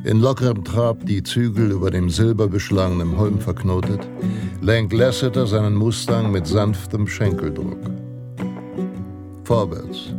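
An actor playing a solemn, measured narrator of a western tale in a radio play. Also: male voice, lowish voice, natural voice. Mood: solemn, heroic. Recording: guitar music behind the voice. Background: plucked guitar music.

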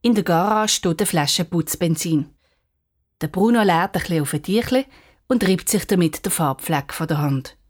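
Low-pitched Swiss German woman storyteller. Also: darkish tone. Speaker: narrator. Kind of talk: scripted children's story narration.

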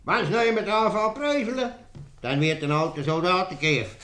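A man playing a cocky, touchy old mercenary soldier, excitable and blustering, in a 1950s radio comedy. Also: sits high, normal speed, reverberant. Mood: boastful, excited.

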